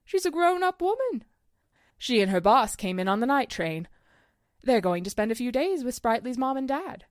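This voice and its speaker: A woman's voice: a little high, very animated, normal speed, no room sound, clean studio sound. A woman acting a chatty, coy small-town 1940s housewife who loves gossip, in a radio drama. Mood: playful, gossipy.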